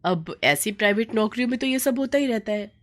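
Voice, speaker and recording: A woman's voice: fairly high pitch, lively intonation, a little fast, pitched above the performer's own voice, close to an everyday voice. A woman voicing a blunt, unfazed young roommate, matter-of-fact, in a storybook tale. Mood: pragmatic.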